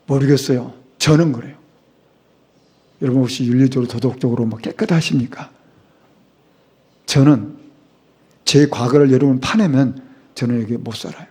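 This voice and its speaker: A man's voice: mid-range voice, normal speed, long pauses, dark tone, breathy edge. A middle-aged man preaching a sermon as a pastor, speaking emphatically and asking the congregation rhetorical questions. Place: church sanctuary.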